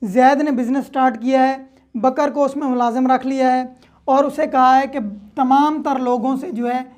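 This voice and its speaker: A woman's voice: sits high, darkish tone. A woman with a Pakistani accent giving an explanatory law lecture in a conversational way, with frequent check-in tags. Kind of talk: explanatory lecture with worked examples.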